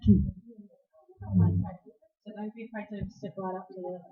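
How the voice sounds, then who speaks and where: A woman's voice: medium pitch, flat delivery, a little slow. A woman hosting a live event, giving informal introductions to a group of radio players. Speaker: host introducing a radio play troupe. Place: indoor live venue before an audience. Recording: echoey room, dull lo-fi sound.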